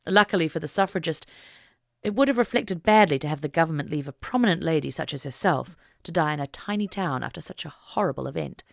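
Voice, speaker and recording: A woman narrating an audiobook story in a deliberate, expressive, dramatic reading style. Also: low-pitched, gravelly, no room sound, clean studio sound.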